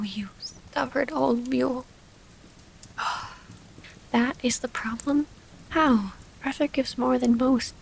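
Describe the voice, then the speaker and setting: A woman playing a loving, formal, wistful female vampire in an intimate ASMR roleplay, often breathy and close to a whisper. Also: high voice, poor audio. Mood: exasperated. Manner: formal, old-fashioned, intimate phrasing. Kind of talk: ASMR romantic audio roleplay. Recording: steady rain ambience, faint noise.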